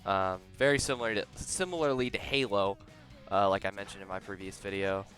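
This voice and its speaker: A young man giving a casual movie review close to the microphone, halting and often repeating words as he starts over. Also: on the low side, a bit bright, faint music behind. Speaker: video reviewer. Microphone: very close to the mouth.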